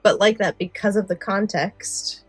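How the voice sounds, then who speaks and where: A woman's voice: medium pitch, a little slow, husky, no room sound, faint music behind. A woman co-hosting a podcast, talking in a casual, explanatory way. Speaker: podcast co-host. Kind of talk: casual podcast chat with some read-out story summaries.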